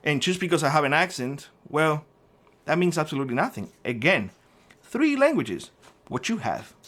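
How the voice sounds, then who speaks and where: A man's voice: fairly high pitch, very animated, moderate pace, fairly bright tone. A middle-aged man from Cuba with a Cuban Spanish accent, hosting a prepper vlog and ranting combatively at a commenter, with frequent swearing. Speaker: prepper channel host.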